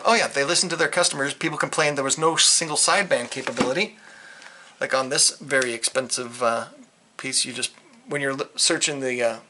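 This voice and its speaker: Bright-voiced man rambling through a gadget review. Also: medium pitch. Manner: rambling, hesitant, trails off mid-thought. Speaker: hobbyist video reviewer. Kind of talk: casual unscripted gadget review.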